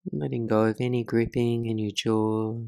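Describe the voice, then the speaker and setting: A woman leading a guided meditation as the teacher, speaking in a soothing, measured way with soft pauses. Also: very deep voice, little pitch movement, vocal fry, clear, resonant tone, no room sound, studio quality.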